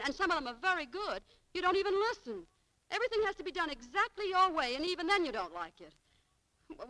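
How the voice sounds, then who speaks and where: An actress playing a spirited, wheedling young shopgirl, quick to take offence, in 1940s radio style. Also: high-pitched, pushed and bright, rough recording. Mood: indignant. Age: young adult. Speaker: radio actress in a romantic comedy. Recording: vintage 1940s radio broadcast.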